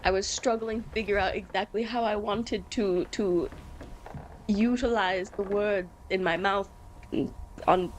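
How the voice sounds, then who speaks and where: Woman, livestream host, mid-pitched voice, casual chat, informal, self-deprecating, mild background noise. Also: fairly even intonation, measured pace, rough recording.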